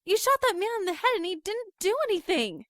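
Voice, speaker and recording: A woman's voice: very high-pitched, sing-song, fairly quick, a bit bright, exaggerated voice. An actress playing a terrified young woman in an audio drama, screaming and panicked. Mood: accusing.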